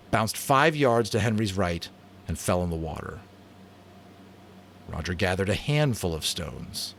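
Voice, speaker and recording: A man doing expressive, dramatic audiobook narration, sometimes stumbling on a word and correcting himself. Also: fairly low pitch, slight background noise. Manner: expressive, with small slips he corrects aloud. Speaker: amateur audiobook reader.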